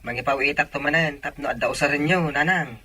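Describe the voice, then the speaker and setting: A devoted young man in a radio drama, played by an actor, with a very bright, forceful voice pitched a bit high. Noticeable ambient noise sits behind him. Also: quick pace. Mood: earnest. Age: young adult. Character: devoted young boyfriend and son working away.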